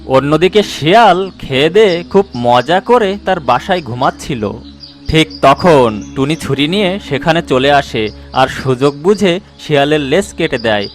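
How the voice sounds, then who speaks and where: High-pitched male storybook narrator. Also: animated, measured pace, faint music behind. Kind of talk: scripted children's story narration.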